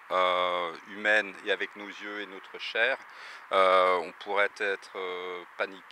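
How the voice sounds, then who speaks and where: Deep, bright-voiced middle-aged man, halting. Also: a little hiss or hum. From Belgium, the Netherlands and France. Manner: halting, searching for words. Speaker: interview guest. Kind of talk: unscripted personal testimony.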